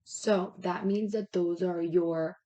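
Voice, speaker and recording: A woman with a raspy voice that is giving out, talking casually as a fitness coach and explaining step by step in an informal, rambling way. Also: lowish voice, steady tone, takes it slowly, mellow. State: raspy, losing her voice.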